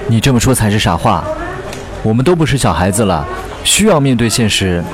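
An actor in a radio drama playing a young aspiring singer, an affectionate but tired boyfriend who speaks coaxingly. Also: male voice, fairly low pitch, big pitch swings, brisk, noisy background. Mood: firm, resigned. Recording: music behind the voice. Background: background music.

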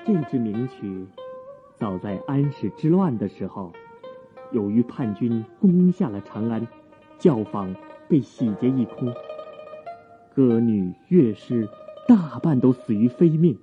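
An actor playing an earnest young court musician of old China in a Chinese radio drama, husky and measured, with literary diction. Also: male voice, mid-range voice, animated. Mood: grave, curious. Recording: muffled narrow-band, music behind.

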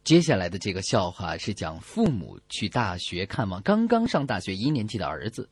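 A woman's voice: very deep voice, very animated, normal speed, slightly rough. A woman co-hosting a comedy radio show, telling jokes and reading out song dedications to listeners.

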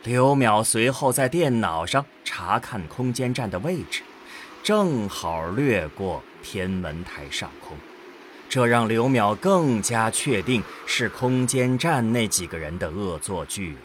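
A man's voice: fairly low pitch, animated, unhurried, slight background noise. A man narrating a scripted science fiction audio drama.

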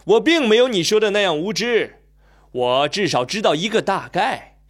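A man's voice: high-pitched, animated, moderate pace. A man playing an inquisitive young reporter in a radio drama, polite but sceptical, with a questioning manner. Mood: polite, probing. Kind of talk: Chinese radio drama acting.

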